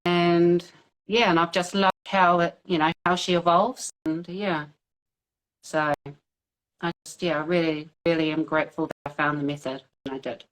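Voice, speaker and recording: Chatty New Zealand woman, lowish voice. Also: fairly even intonation, moderate pace, rough recording. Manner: hesitant, many fillers, laughs easily. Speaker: guest. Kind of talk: casual interview over a call.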